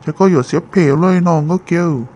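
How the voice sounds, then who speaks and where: A man voicing a male character in an animated family drama, in a dull lo-fi recording. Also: fairly high pitch, moderate pace, a little hiss or hum, close to an everyday voice. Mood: conversational. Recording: dull lo-fi sound. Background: music.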